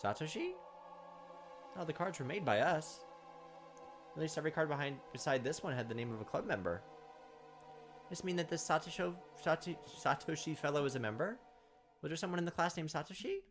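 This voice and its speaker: A game host narrates a visual novel aloud over clear background music. The delivery is lively and moves up and down freely, slipping from reading into playful, casual remarks at the characters. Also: mid-range voice, brisk, long pauses. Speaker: gaming video host. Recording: music behind the voice.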